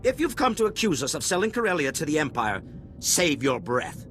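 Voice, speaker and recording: A greedy, defensive official. His voice is fairly high, sharp and lively, indignantly justifying himself in a video game cutscene. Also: brisk, faint music behind.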